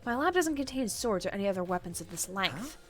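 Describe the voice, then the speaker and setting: A woman voicing a cool, blunt teenage girl assassin who speaks flatly and matter-of-factly, with a nasal sound. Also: medium pitch, quick pace, soft background music, lightly acted.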